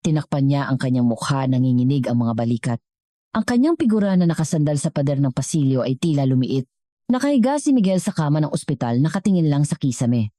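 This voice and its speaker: A woman with a low, mellow voice, reading a scripted dramatic story as narrator. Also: expressive, fast, no room sound, studio quality.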